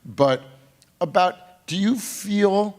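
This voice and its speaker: A man's voice: high-pitched, expressive, very slow, darkish tone. A man from Brooklyn moderating a panel, asking long, searching questions while hunting for words. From Brooklyn, USA.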